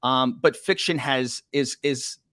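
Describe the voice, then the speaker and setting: A man's voice: mid-range voice, slow pace, fairly bright tone, no room sound, clean studio sound. A middle-aged man hosting a podcast interview, talking enthusiastically with some restarts. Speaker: podcast host.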